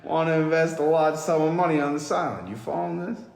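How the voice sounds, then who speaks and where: A man's voice: a little high, quick pace, vocal fry, darkish tone, pitched above the performer's own voice. Man reading as a pushy mercenary recruiter, echoey. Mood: pushy, heated. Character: pushy, foul-mouthed male mercenary recruiter, impatient and shady. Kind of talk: amateur table read of a film script. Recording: echoey reverberant room, background noise.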